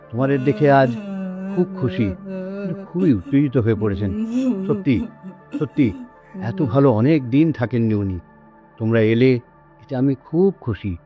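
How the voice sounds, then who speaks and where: A man playing a patient, caring elderly father in a radio drama, speaking gently and hesitantly and repeating himself. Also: mid-range voice, natural voice. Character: patient, caring elderly father looking after ailing wife. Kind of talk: Bengali radio drama acting. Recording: dull lo-fi audio with music behind.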